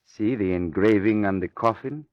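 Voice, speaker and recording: An actor playing an eerie, placid undertaker in an old radio mystery, courteous and morbidly serene. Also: male voice, lowish voice, moderate pace, no room sound, natural voice. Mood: calm, creepy. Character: eerie, placid male undertaker fond of the dead. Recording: dull old radio recording.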